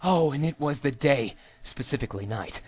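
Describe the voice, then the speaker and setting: A man's voice: mid-range voice, animated, moderate pace, a little mellow, slightly rough, dry recording. Man giving a theatrical story reading. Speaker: amateur story reader. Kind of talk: dramatic scripted narration of a story.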